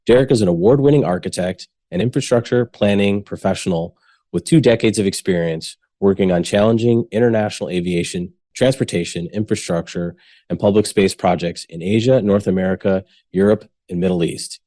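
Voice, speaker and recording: A male podcast host with a low, mellow voice reads his introduction and then eases into casual, friendly conversation. The sound is dry and close, with no room around it. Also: brisk, no room sound.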